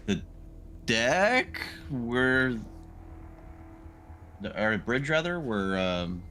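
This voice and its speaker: A man's voice: mid-range voice, big pitch swings, drawn-out words, sharp tone. A man taking part as a player in a tabletop roleplaying game, talking casually and in character. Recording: faintly noisy room recording.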